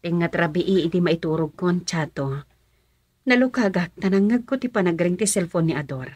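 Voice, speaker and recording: Filipino wife, husky lowish voice, curious and concerned, radio drama. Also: female voice, moderate pace, darkish tone, no echo. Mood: conversational. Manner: questioning. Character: concerned, inquisitive adult wife at home.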